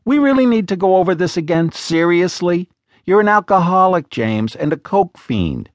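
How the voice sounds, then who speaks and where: A man's voice: sits high, very animated, moderate pace, no echo, voice pushed up. A bossy, exasperated woman, as a male narrator puts her on, scolds in a raised, sarcastic voice. Her lines swing wildly up and down for emphasis. The dry booth leaves no room sound around her. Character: sharp-tongued exasperated woman, bossy business partner.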